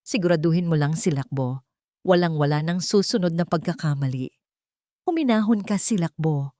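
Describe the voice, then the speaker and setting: A secretive, scheming husband in an audiobook drama, acted by a woman narrator, talks in a lowish voice with a dry sound. The delivery is terse and commanding, that of a controlling man used to giving warnings. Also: moderate pace, warm tone, no echo, close to an everyday voice. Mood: tense warning. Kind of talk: audiobook character dialogue.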